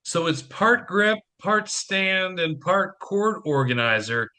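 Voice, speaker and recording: An actor playing a wry investor and young father in an audio play, brisk, wisecracking and in charge. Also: sits high, big pitch swings, sharp tone, full character voice. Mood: wry, businesslike.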